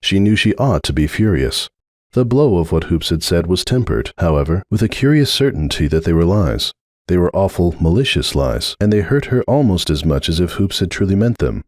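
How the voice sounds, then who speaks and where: A man's voice: low voice, very animated, moderate pace, a little mellow, dry recording. A man reading a story aloud as a narrator in a dramatic audiobook style, close on the mic.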